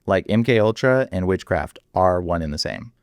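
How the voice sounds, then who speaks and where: A man speaking as a guest in a casual interview, animated and emphatic, full of fillers. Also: low voice, normal speed, clear, resonant tone, no echo, studio quality.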